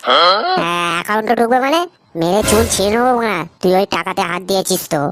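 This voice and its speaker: A woman's voice: mid-range voice, animated, quick pace, fairly bright tone, natural voice. A woman voicing a hot-headed, greedy young male sidekick in a comic cartoon, combative and slangy. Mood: angry, threatening.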